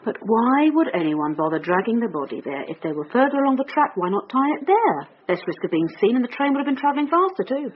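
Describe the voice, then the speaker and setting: An actress playing a persistent, sharp-witted British police detective, firm and probing, in a radio drama. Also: female voice, fairly high pitch, sing-song, quick pace, hardly any pauses, slightly rough, narrow, tinny audio. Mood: thoughtful, doubtful. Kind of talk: scripted radio drama acting.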